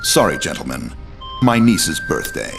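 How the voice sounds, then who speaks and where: A man's voice: very deep voice, sing-song, measured pace, vocal fry, over music, full character voice. An actor playing a sly man posing as a doting uncle, syrupy and indulgent, in a video game. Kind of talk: video game cutscene acting.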